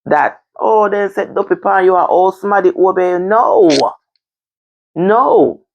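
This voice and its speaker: A woman's voice: mid-range voice, measured pace, dull audio. A woman with a Jamaican accent in a candid, casual discussion, blunt and emphatic, repeating phrases for stress. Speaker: discussion show host.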